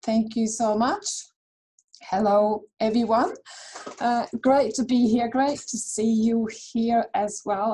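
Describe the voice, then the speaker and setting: Woman webinar presenter from Switzerland, warm low-key timbre, friendly and chatty with restarts. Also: rough recording. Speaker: herbal dispensary founder presenting a webinar.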